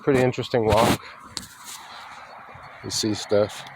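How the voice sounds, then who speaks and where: Male walking vlogger, lowish pitch, very slow and halting, opinionated and wry, recorded outside. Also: steady tone, very noisy. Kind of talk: rambling walking vlog monologue. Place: outdoors on a rural road while walking.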